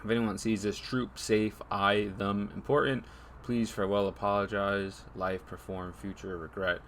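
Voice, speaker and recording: A man's voice: on the low side, little pitch movement, normal speed, a bit bright, slight background noise. A man streaming games in a laid-back, slangy way, reacting casually and reading text aloud.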